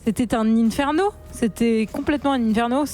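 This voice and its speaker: A woman's voice: a little high, fairly quick, mellow, soft background music. A woman co-hosting a casual film podcast, talking frankly with slang and easy laughs. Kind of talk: casual chat.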